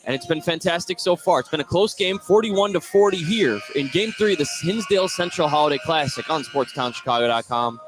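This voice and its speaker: A man doing live basketball play-by-play as the announcer, energetic and lifting his voice on scoring plays. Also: on the high side, brisk, hardly any pauses, over music. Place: indoor gym at basketball tournament. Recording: noisy gym ambience behind voice. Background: gym ambience.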